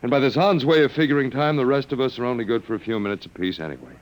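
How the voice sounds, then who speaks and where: A man's voice: medium pitch, expressive, rapid-fire, close to an everyday voice. An actor playing a wry, resigned male academic in an old radio drama, lecturing with hesitant repetitions. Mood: animated explaining. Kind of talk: classic radio drama acting. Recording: noisy old radio recording.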